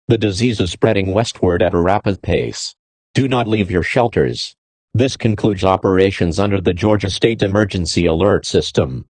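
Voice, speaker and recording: A man's voice: deep voice, a little mellow, no room sound. A man delivering a scripted emergency broadcast announcement in a formal, terse, official manner.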